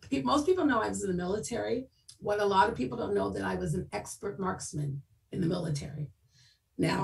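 Gravelly woman mayor on a video call. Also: medium pitch. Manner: lively, laughs while talking. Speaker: panelist, mayor. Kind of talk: casual panel talk. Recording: distant video call audio.

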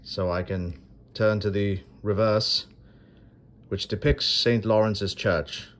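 Low-voiced dry man narrating a coin unboxing. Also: steady tone, fairly bright tone. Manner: dry self-deprecating, unhurried with pauses. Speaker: coin video host. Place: indoors at a marble tabletop. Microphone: phone camera held over a table.

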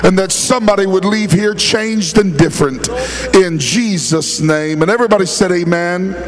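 Slow, high-voiced male preacher. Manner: preaches in short phrases, rising to shouts. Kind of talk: Pentecostal sermon with interpreter. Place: church.

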